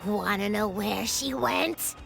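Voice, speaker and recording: A woman's voice: mid-range voice, takes it slowly, vocal fry, over music. A woman voicing a small, gleefully malicious shapeshifting teddy bear in a video game, theatrical and taunting in a childlike way. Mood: gloating, malicious. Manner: theatrical, taunting, speaks of itself in third person. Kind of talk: anime-style video game voice acting.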